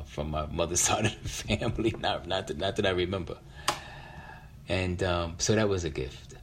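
Very deep-voiced American man, reflective storyteller. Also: a little hiss or hum. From West Philadelphia, United States. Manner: halting, reflective. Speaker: featured storyteller. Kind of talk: personal storytelling.

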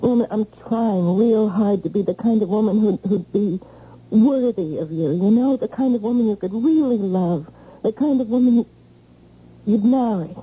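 Tough yet pleading leading lady, played by an actress for radio drama; husky, very dark tone, muffled vintage sound. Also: medium pitch, normal speed, close to an everyday voice. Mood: pleading, earnest. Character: defiant, once-tough woman pleading for love. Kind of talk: old-time radio drama acting. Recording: muffled old radio recording.

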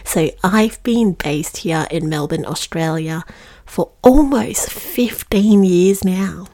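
A woman in her thirties hosts a podcast, speaking slowly and with care. Her voice sits fairly low, and she talks in an earnest, reflective way into a close mic. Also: dark tone. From Singapore. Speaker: podcast host, teacher wellbeing coach. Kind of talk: scripted podcast monologue.

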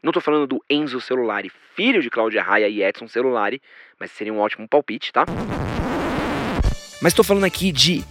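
A man's voice: medium pitch, animated, fairly quick, over music. A man hosting a scripted biographical podcast, with informal joking asides. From São Paulo, Brazil. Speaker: podcast host. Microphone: studio podcast microphone.

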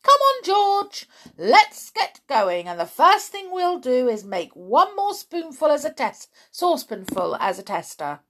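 A woman voicing an excitable, overbearing father in a children's story, exclaiming with bossy, impatient enthusiasm. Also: high-pitched, unhurried, few pauses, voice pushed up, heavily acted. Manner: exclamatory, bossy, breathlessly eager.

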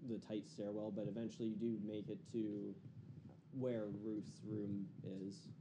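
A man with a fairly low voice improvises as a tabletop game master. His delivery is casual and halting, and there is clear noise in the recording. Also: level tone.

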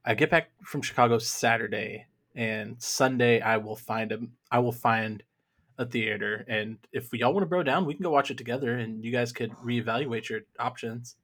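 A man's voice: mid-range voice, moderate pace, fairly bright tone. A man, co-hosting a casual sports podcast in an easygoing, enthusiastic way. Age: 30s. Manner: easygoing, enthusiastic, says dude and y'all. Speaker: podcast co-host.

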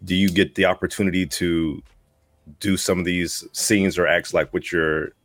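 A man's voice: sits low, steady tone, moderate pace, fairly bright tone, no room sound, studio quality. A man co-hosting a casual interview, asking wordy, slightly hesitant questions. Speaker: podcast co-host.

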